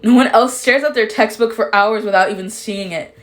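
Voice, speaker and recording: A woman's voice: medium pitch, fairly quick, voice pushed up, lightly acted. A woman voicing an anguished, self-loathing teenage boy in a dramatic fan fiction reading, pleading with strained emotion. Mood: quiet despair.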